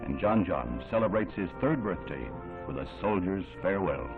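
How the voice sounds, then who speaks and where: Male American newsreel narrator, 1960s: low-voiced, solemn and oratorical, on a thin band-limited old soundtrack. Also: expressive, normal speed, phone-quality sound. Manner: solemn, formal, dramatic oratorical delivery. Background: loud music.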